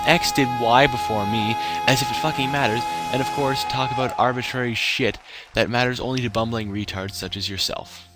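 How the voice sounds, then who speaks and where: A man with a low voice performs a dramatic reading of an online argument. His delivery is sarcastic and exaggerated, and loud music plays behind him.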